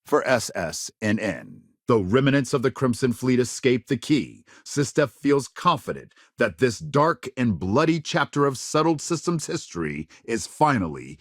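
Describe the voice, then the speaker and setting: An actor playing a polished, dramatic news anchor in a video game, with measured, grave and emphatic broadcast phrasing. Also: male voice, mid-range voice, very animated, fairly bright tone, no room sound, studio quality, heavily acted. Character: polished, dramatic male TV news anchor of a space-age network. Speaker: news reporter. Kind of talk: scripted broadcast news delivery.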